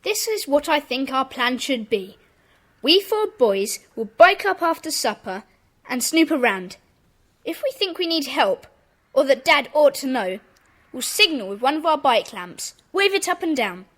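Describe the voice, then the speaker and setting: A woman playing a brisk schoolboy who leads a child detective club in an audio drama. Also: high voice, sing-song, fairly bright tone, heavily acted. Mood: decisive.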